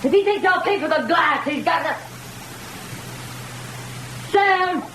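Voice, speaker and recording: An actress playing a bitter, mocking wife in an old-time radio drama, with a sharp, taunting way of talking. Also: female voice, very high voice, expressive, takes it slowly, a little hiss or hum, exaggerated voice. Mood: alarmed. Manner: sharp, taunting, working-class phrasing. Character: bitter, mocking young-ish wife, scornful of husband.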